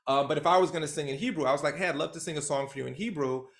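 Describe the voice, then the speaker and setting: Male coach, loose and animated delivery, fry in the voice, video-call sound. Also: on the high side, rapid-fire. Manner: animated, rambling, with many fillers. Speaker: trainer leading a group call.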